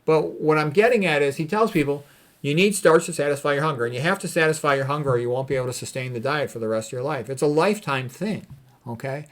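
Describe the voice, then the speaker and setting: A man's voice: on the high side, quick pace. A man talks off the cuff as a doctor advising on health. He is blunt and opinionated, and he drives his points home by repeating key phrases. His commentary is informal and emphatic. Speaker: doctor giving health advice. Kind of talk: informal commentary on read quotes.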